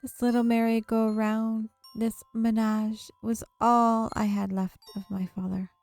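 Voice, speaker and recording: A woman's voice: fairly high pitch, flat delivery, a little slow, darkish tone, dry recording. A woman streaming a visual novel, reading its narration aloud expressively and sometimes correcting herself mid-sentence. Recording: background music under the voice. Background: game music.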